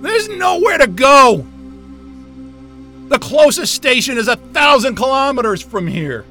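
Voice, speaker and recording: A man's voice: extremely high pitch, big pitch swings, a little slow, pushed and bright, soft background music, heavily acted. An actor playing a panicked, sweary man stranded at a polar station in an audio drama, urgent and exasperated. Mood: furious, despairing.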